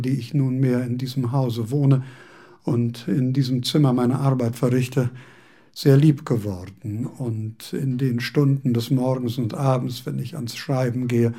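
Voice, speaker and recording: An actor playing an aging, fastidious male philosopher in a radio drama, speaking with formal old-fashioned courtesy. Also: mid-range voice, warm tone.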